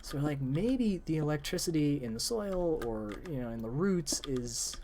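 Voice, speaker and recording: Young guy rambling about ideas, lots of likes, voice going hoarse, keyboard clicking faintly behind. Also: a little high, moderate pace, a little hiss or hum. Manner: rambling, many "like" fillers, animated. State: losing voice, voice cracking. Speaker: friend discussing story ideas. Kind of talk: casual chat while gaming.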